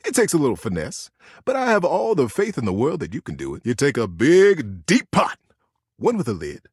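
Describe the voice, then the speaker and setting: A man voicing a lovable old teddy bear in a video game, a gentle, encouraging buddy with a folksy, playful, earnest way of giving advice and a husky voice. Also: medium pitch, wildly swooping pitch, brisk, dry recording, clean studio sound. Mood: cheerful, instructive. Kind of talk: video game character monologue, storytelling advice.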